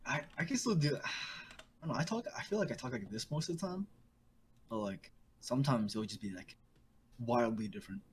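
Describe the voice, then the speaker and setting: A young man talks in a relaxed, rambling way on a casual podcast. He laughs often and drops "bro" into his sentences. The video call recording has a faint hum of background noise. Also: medium pitch, moderate pace. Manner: laid-back, laughs often, says "bro" a lot. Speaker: podcast co-host. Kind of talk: casual chat.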